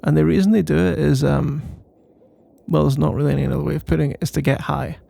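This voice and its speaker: A man's voice: a little high, steady tone, quick pace, vocal fry, warm tone. A man doing a casual, rambling ASMR boyfriend roleplay in a playful, mock-boastful and meandering way.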